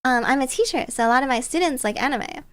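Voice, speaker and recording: A woman's voice: sits high, fast, a bit bright. A woman streamer telling stories casually in a bubbly, playful, cutesy way. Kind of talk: casual storytelling chat on a livestream.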